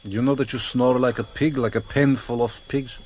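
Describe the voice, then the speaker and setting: An actor playing a cultured, mockingly courteous older foreign interrogator, formal and deliberate, in a vintage radio drama. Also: male voice, medium pitch, fairly even intonation, darkish tone, natural voice. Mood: teasing. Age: middle-aged or older. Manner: formal, deliberate, mock-polite. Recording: slightly noisy vintage radio recording.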